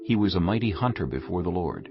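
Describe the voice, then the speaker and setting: A man narrating scripture from a script in a solemn, deliberate, measured way. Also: low voice, lively intonation, gravelly. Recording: dull audio with loud music behind.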